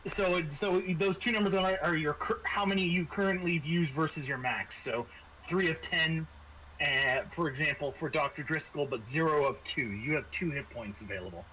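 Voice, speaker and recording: A man's voice: fairly high pitch, moderate pace. A man narrating and refereeing a tabletop roleplaying game as game master. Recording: noisy room recording.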